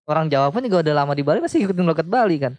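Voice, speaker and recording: A man's voice: fairly high pitch, expressive, racing, a little mellow, no room sound. A young man in casual chat, joking and laughing often.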